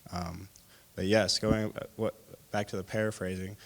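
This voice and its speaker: A man's voice: sits low, flat delivery, normal speed, creaky, big-room sound. A man sharing his thoughts in a group discussion, hesitant and full of fillers. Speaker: discussion participant.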